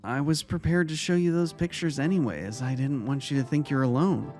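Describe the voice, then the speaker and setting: A man acting a kindly, caring male vice-principal and mentor in a dramatic story reading, speaking gently and earnestly. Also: a little high, brisk, lightly acted. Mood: reassuring, caring. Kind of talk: dramatic reading of fan fiction. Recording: music under the voice.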